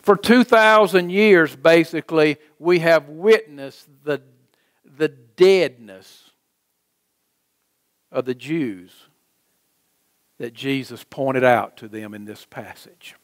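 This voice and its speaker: A middle-aged American man preaching a sermon as a pastor, speaking in an emphatic, deliberate manner. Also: fairly high pitch, no echo. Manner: emphatic, deliberate, with rhetorical questions and repetition. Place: church sanctuary, preaching from a lectern.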